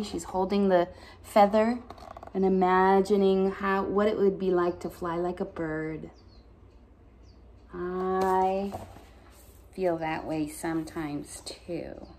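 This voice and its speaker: A woman's voice: lowish voice, fairly even intonation, takes it slowly, darkish tone, slight background noise. A middle-aged woman, a pastor hosting story time, reading poems aloud expressively to children with casual asides. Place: bright dining room at home.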